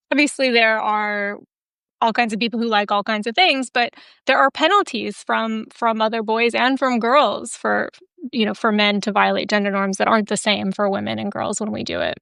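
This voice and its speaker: A woman's voice: on the high side, vocal fry, a bit bright, clear, resonant tone, no room sound, clean studio sound. A woman hosting a casual interview, talking candidly with lots of "like" fillers. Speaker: host.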